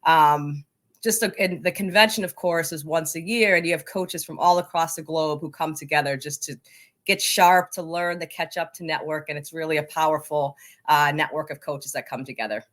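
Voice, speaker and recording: A woman's voice: low-pitched, moderate pace, fairly bright tone. A woman with an American accent talking casually as an interview guest. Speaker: podcast guest, coaching organization leader.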